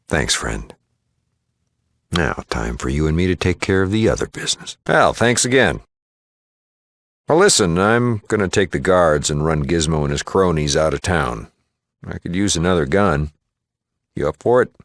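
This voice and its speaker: A male actor playing a gruff, plain-spoken small-town shopkeeper and lawman in a video game, with a gravelly American voice and a folksy, matter-of-fact manner. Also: animated, moderate pace, long pauses, dry recording. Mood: brisk, businesslike. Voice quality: gravelly, low. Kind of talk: video game character dialogue.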